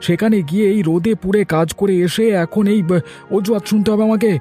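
A man's voice: high-pitched, steady tone, fast talker, dark tone, faint music behind, natural voice. A man voicing a short-tempered, nagging farmer husband in a cartoon. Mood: annoyed, scolding. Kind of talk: cartoon voice acting.

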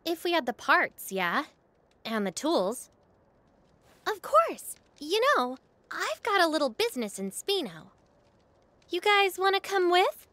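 A confident young woman mechanic, high and bright. She sounds practical, with lively pitch, in a dry video game recording. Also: measured pace, pauses often, no room sound, full character voice.

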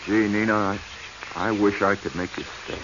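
An actor playing an easygoing treasure-hunting partner in a 1940s radio drama, plain and friendly. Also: male voice, lowish voice, steady tone, moderate pace, natural voice. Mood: pleading. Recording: muffled, faintly noisy 1940s radio recording.

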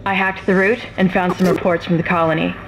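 Low, brisk woman tech specialist played by an actress. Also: level tone, very noisy, natural voice. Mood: focused, explaining. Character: sharp, suspicious female computer specialist on doomed starship. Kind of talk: video game dramatic acting.

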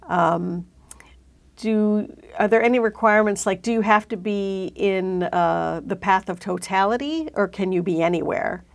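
A woman's voice: mid-range voice, a little slow, gravelly. A middle-aged woman hosting a conversational interview, recorded indoors in a studio on a lapel mic. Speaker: interview host. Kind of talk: conversational interview on a hobby show.

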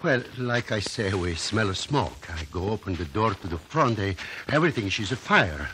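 An actor playing an earnest, kindly immigrant shopkeeper in a 1950s radio drama, speaking broken English with a foreign accent. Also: male voice, on the low side, expressive, moderate pace, bright, forceful tone. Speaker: courtroom witness. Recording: noisy 1950 radio broadcast recording.

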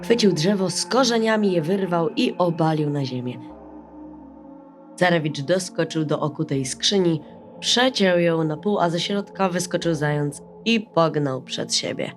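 A woman storyteller narrating a bedtime fairy tale in an expressive, scripted style. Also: on the low side, measured pace. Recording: music under the voice.

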